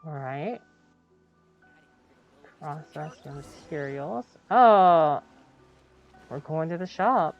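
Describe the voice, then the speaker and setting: A woman's voice: low-pitched, expressive, drawn-out words, pauses often, muffled recording, soft background music. A woman streaming a video game with casual commentary on what she plays.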